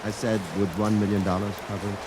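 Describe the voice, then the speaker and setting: A man doing a calm, formal Martian posing as a businessman, all stiff precision and measured words, in a lowish voice. It's an old radio play, with loud rain hissing over the recording. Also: lightly acted. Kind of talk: 1950s radio drama acting.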